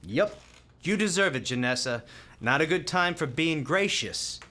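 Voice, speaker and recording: A man's voice: on the high side, expressive, a little slow, bright, forceful tone. An actor playing a cheerful, kindhearted male hospital volunteer in a radio play, folksy, playful and talkative. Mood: warm, chatty.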